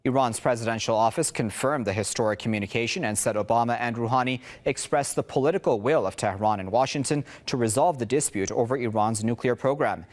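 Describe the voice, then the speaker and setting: A man's voice: medium pitch, fast talker, few pauses. A man presenting the news, reading reports and putting questions to a guest in a broadcast style.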